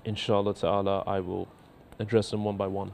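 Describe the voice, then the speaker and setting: A man in his thirties with a faint Scottish accent, a cleric in a TV studio reading out and answering viewer letters in a measured, earnest way. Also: fairly low pitch, little pitch movement, vocal fry, darkish tone, a little hiss or hum. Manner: measured, earnest, repeats words when starting sentences. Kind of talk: studio talk show, reading letters aloud. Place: indoor TV studio.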